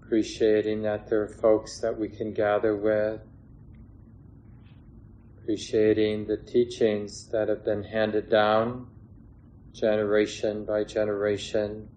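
Guy guiding a meditation, really slow and even, short gentle phrases, some room noise behind him. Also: fairly low pitch, rough recording. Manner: gentle, unhurried, with long pauses between short phrases. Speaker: meditation teacher. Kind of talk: guided meditation. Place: meditation hall with a gathered group. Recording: clear background noise, room ambience.

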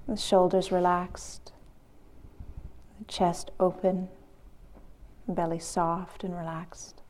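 Female meditation guide, slightly low voice, unhurried and gentle, leaving long gaps between measured phrases. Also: level tone. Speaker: meditation teacher.

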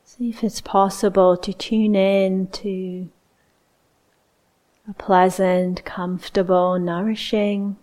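A woman leading a guided meditation as a teacher, speaking in a gentle, unhurried way with long pauses. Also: mid-range voice, flat delivery.

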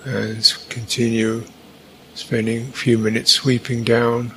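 A man leads a guided meditation at a very slow pace. His medium-pitched voice stays flat and even, moving through short, halting instructions with long gaps between them. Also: a little hiss or hum, low-quality recording. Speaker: meditation teacher.

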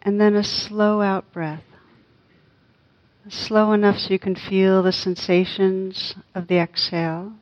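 A woman leading a guided meditation as a teacher, gentle and unhurried, with long pauses between soft phrases. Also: mid-range voice, flat delivery, lo-fi sound.

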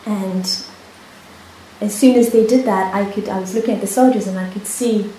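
Brief: Hebrew-speaking woman, meditation teacher, halting, reflective delivery; boomy retreat-hall recording. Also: fairly even intonation. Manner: soft-spoken, unhurried, with many pauses and restarts. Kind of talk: dharma talk with personal story. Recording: echoey hall, faint hiss.

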